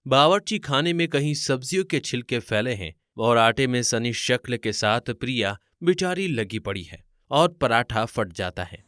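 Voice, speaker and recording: A man's voice: mid-range voice, animated, normal speed, fairly bright tone, clean tone, dry recording, studio quality. A man narrating a scripted family story in a theatrical, rhetorical style.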